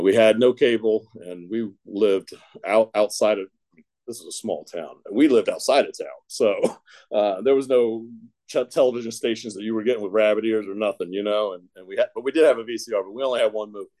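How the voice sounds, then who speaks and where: A man's voice: on the low side, a little mellow. A man from Ohio telling his own recovery story in a loose, rambling way, full of "you know" fillers and self-deprecating jokes. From Ohio, United States. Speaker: speaker sharing a recovery story. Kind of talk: casual personal storytelling.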